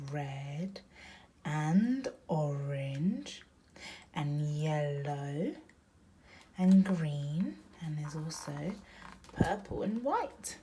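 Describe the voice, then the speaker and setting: Female, motherly bedtime storyteller: very unhurried, animated intonation, child-directed questions and picture asides. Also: deep voice, slight background noise. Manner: sing-song, slow emphatic reading of the story. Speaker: mother reading aloud to her young child. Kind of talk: bedtime picture-book read-aloud with chatty asides.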